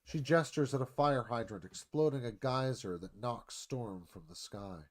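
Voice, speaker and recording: A man's voice: medium pitch, moderate pace. A man narrating a screenplay, reading action and stage directions as dramatic scripted narration.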